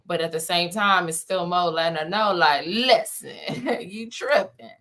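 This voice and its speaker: A woman with a low voice talks casually in an interview as a playwright. She is emphatic and conversational, rambling a little as she explains. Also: measured pace, a bit bright. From Washington, D.C. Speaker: playwright and director being interviewed.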